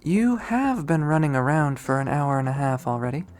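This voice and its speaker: A man's voice: on the high side, sing-song, normal speed, faint music behind. Man voicing a curt, reserved young woman. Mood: cool, curt. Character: reserved, terse young college woman, hard to read.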